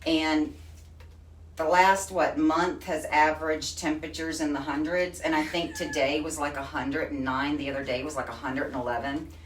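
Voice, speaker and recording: A woman's voice: deep voice, steady tone, moderate pace, slight background noise. Texan lady hosting a live stream, folksy and chatty, voice kinda far off in an echoey room. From Texas. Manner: chatty and folksy, says honey and y'all. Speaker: host. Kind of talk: casual live-stream chat.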